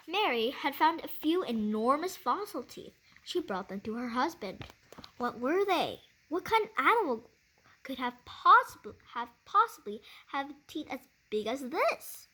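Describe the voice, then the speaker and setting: A woman's voice: sits high, sharp tone. A woman reading a children's science book aloud, stumbling over words now and then and rereading phrases. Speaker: reader of a children's nonfiction book. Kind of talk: read-aloud of a science book with casual asides. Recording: clearly noisy amateur recording.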